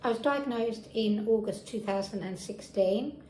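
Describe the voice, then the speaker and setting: A woman's voice: medium pitch, a little slow, dark tone. A woman in her thirties with a southeast English accent, giving a personal account as a patient. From Essex, England. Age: 30s. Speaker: patient sharing experience. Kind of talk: personal testimony to camera. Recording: echoey room with faint background noise.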